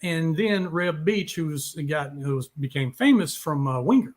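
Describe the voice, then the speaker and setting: A man's voice: on the high side, lively intonation, moderate pace. A man co-hosting a music show, talking in a casual, conversational way. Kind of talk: casual chat.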